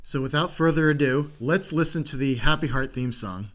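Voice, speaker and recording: A man's voice: fairly high pitch, normal speed, loud music behind the voice. An American man hosting a podcast interview in a conversational style. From Philadelphia, United States. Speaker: podcast host.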